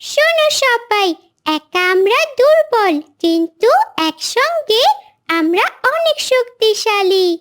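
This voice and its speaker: An extremely high, childlike little girl bird for a cartoon. She speaks slowly and earnestly, with plucky defiance. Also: sing-song, fairly bright tone, exaggerated voice. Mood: rallying, determined. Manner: earnest, deliberate. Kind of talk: cartoon character acting.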